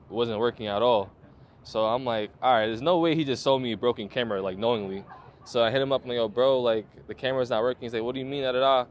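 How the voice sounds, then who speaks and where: A man's voice: medium pitch, a little hiss or hum. A man in his twenties, a photographer, telling his story in a laid-back interview with lots of slang, fillers and swearing. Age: 20s. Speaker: photographer guest.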